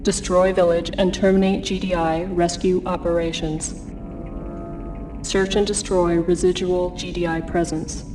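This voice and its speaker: A woman's voice: on the low side, flat delivery, a little slow, recorded from a distance, natural voice. An actress playing an impassive female military computer announcer in a video game, reading briefings in a clipped, formal way. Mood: impersonal, commanding. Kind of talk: scripted mission briefing narration. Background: music.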